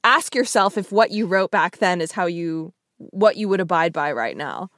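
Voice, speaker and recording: Woman podcast host, kinda low voice, thoughtful, really dry studio sound. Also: lively intonation, moderate pace, a bit bright, no room sound. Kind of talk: conversational podcast interview with some narration.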